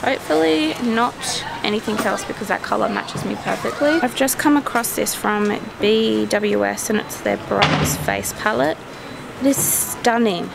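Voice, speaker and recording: A woman's voice: mid-range voice, moderate pace, creaky, background noise. A young adult woman with an Australian accent, chatting casually and gushing as she vlogs her way around a store. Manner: gushing, enthusiastic. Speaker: vlogger. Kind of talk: casual shopping vlog chat. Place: inside a busy department store. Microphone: handheld camera at arm's length.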